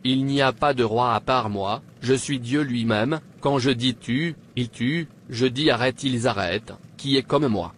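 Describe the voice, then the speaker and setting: A man's voice: mid-range voice, a little slow, hardly any pauses, a little hiss or hum, lightly acted. A man playing an arrogant, tyrannical king in a dubbed cartoon, speaking in an imperious, boastful manner. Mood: angry, boastful. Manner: imperious, boastful, indignant questioning. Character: arrogant, tyrannical pagan king demanding obedience.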